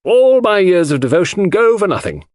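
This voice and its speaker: Audiobook role: gruff, indignant, loyal Englishwoman played by a man, raised pitch, blunt clipped delivery. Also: sing-song, moderate pace, no room sound, studio quality. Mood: bitter, defensive. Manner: clipped, blunt, forceful sentences. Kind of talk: audiobook character voice.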